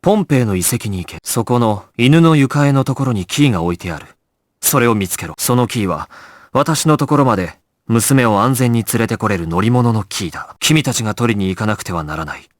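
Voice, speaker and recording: An actor playing a calm, commanding young gangster squad leader in an anime video game, grave and decisive, giving clipped orders. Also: male voice, medium pitch, a little fast, no room sound, studio quality, lightly acted. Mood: measured, reading orders.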